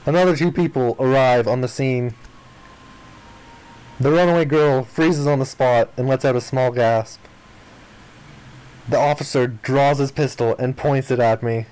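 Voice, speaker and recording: A man's voice: mid-range voice, unhurried, pauses often, darkish tone, clear, resonant tone, poor audio. A man reading a visual novel aloud as dramatic narration for a game playthrough.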